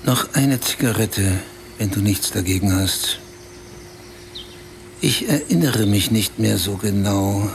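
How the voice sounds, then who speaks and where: A man's voice: lowish voice, slow, creaky, pauses often, slight background noise. An actor in a radio play voicing a bitter, self-pitying man who recounts a wild night in a brooding, confessional way.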